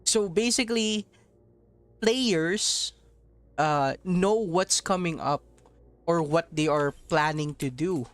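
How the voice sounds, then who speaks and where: Slow-talking man from Southeast Asia. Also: fairly high pitch, no echo. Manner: rambling, laughs often, swears casually. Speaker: mobile game streamer. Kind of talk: casual gameplay commentary.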